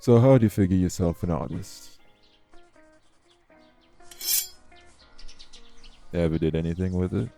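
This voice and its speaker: A man acts out an ancient male vampire for an intimate audio roleplay. His voice sits very low with a heavy gravelly fry and a dark, mellow tone. He drawls without hurry, guarded and wry, with music behind him. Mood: teasing, curious. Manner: drawling, folksy, unhurried, wry. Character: world-weary, guarded old male vampire, gruff but curious.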